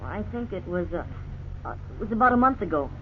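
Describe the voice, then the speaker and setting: An old, muffled radio broadcast with light noise carries a defensive young boy. The voice is very high and jumps about, evasive and halting in 1950s drama style. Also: big pitch swings. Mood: nervous, evasive. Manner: halting, evasive, defiant under pressure. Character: defensive, frightened thirteen-year-old delinquent boy. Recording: dull, noisy old radio recording.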